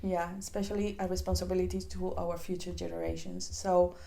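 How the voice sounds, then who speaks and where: A woman's voice: on the low side, little pitch movement, a little fast, creaky, mellow. A woman co-hosting a podcast, speaking in a conversational, reflective way and searching for her words. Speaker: podcast co-host.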